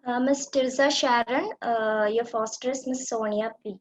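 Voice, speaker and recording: Woman hosting, slightly high voice. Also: poor audio. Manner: steady, with frequent "uh" pauses. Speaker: event host. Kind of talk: reading out names at an online event.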